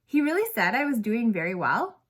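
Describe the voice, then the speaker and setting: A woman's voice: on the high side, very animated, a little fast, slightly rough. A woman reader plays a young schoolboy in a storybook. He is eager but unsure of himself, with tentative lines that rise into questions. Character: eager, self-doubting seventh-grade schoolboy rehearsing Shakespeare.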